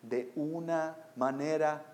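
A pastor with a high voice for a man delivers a sermon to his congregation. His first language is English. He phrases deliberately and oratorically, moving between hushed reflection and loud, emphatic proclamation. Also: steady tone. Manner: deliberate phrasing with rhetorical questions. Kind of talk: expository sermon.

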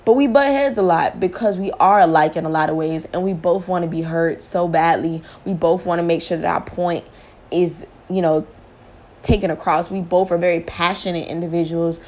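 A woman's voice: lowish voice, fairly even intonation, normal speed, a little mellow. A young woman talking candidly and reflectively about listening and relationships, in a casual, earnest way. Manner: casual speech with fillers and restarts. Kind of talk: reflective personal talk.

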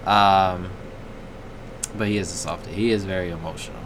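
A young man co-hosting a casual podcast chat, laid-back and deadpan, swearing freely. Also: low voice, moderate pace. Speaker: podcast co-host. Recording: noisy room background.

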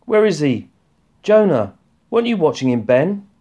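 A man's voice: on the high side, very animated, moderate pace, dark tone, pitched above the performer's own voice. A man voicing an exasperated, weary wife and mother in a novel reading, in an emphatic manner. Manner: indignant, emphatic. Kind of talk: audiobook-style novel reading.

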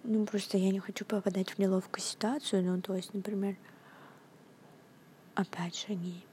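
A young woman muses into her phone from her workplace, drifting between normal speech and a half-whisper as she hesitates and restarts. Her voice is soft and mellow at a middling pitch. A faint low rumble sits underneath. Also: flat delivery, moderate pace. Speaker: podcast host. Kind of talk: casual rambling monologue.

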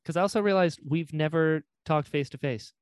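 A man hosting a casual interview chat, enthusiastic and quick to agree and encourage. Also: fairly high pitch, smooth, no echo. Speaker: podcast host.

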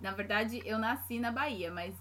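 A woman's voice: a little high, moderate pace, crowd noise. A woman from São Paulo, speaking Portuguese with a paulista accent, casually telling her own story in conversation. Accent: São Paulo Brazilian Portuguese. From São Paulo, Brazil.

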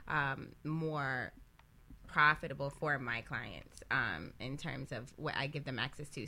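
A woman with a low-pitched but bright, forward voice speaks as a talk show panelist. She is conversational and a little halting, building her answer in short phrases.

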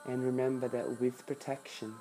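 A meditation guide speaking soothingly and softly in short phrases with long pauses between them. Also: mid-range voice, level tone, low-quality recording. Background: background music.

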